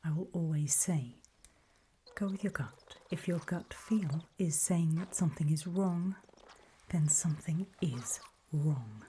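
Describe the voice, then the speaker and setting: A woman whispering softly and breathily in an ASMR roleplay, giving calm, deliberate instructions with pauses, close to the microphone. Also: sits low, slight background noise. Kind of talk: whispered ASMR roleplay, scripted guide briefing.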